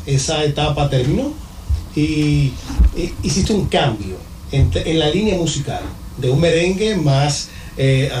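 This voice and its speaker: A man asking measured, reflective questions as an interviewer. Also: on the high side, darkish tone, slight background noise.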